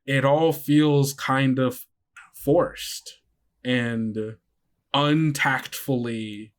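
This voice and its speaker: Slow, halting male game master narrating a tabletop game. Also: mid-range voice, fairly even intonation. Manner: halting, many fillers and restarts.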